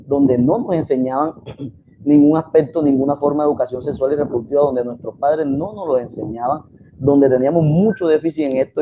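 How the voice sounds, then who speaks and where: Earnest young man explaining. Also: medium pitch. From Colombia. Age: 20s. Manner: earnest, steady explaining with filler pauses. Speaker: guest biologist and sex educator. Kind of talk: interview answers on a video call. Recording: slightly dull sound with faint noise.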